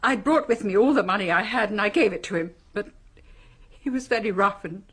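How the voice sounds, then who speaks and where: An actress in a radio drama playing an anguished, secretive middle-aged mother, halting, defensive and earnest. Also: mid-range voice, breathy edge, lightly acted. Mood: anguished confession.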